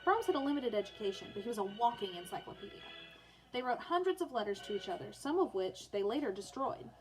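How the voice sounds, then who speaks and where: A woman's voice: on the high side, sing-song, fast, faint music behind, low-quality recording. A woman teacher reading a children's biography aloud for online learners, in storybook narration with informal, chatty asides.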